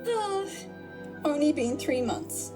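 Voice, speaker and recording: A woman's voice: high voice, expressive, slow pace. A woman voicing a gleefully sadistic genie villainess in an audio drama, with a theatrical, singsong, taunting manner. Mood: gleeful menace. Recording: music under the voice. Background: music.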